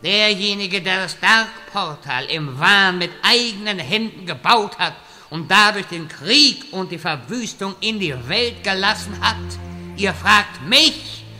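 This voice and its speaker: A man's voice: high-pitched, lively intonation, measured pace, sharp tone, faint music behind, full character voice. An actor playing an old wizard who sells portal services, with pompous speeches and salesman patter in a comedic radio play. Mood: grandiose. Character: old male wizard turned hustling locksmith, pompous.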